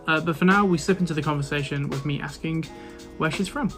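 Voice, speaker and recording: A man's voice: a little high, quick pace, over loud music. A man hosting a podcast, introducing the episode in a friendly, slightly hesitant way with fillers. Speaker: podcast host.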